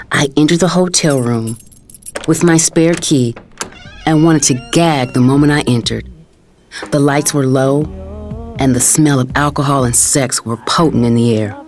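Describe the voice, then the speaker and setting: A woman playing a calculating, vengeful middle-aged mother, narrating a drama in the first person with deliberate storytelling. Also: extremely low pitch, a little mellow.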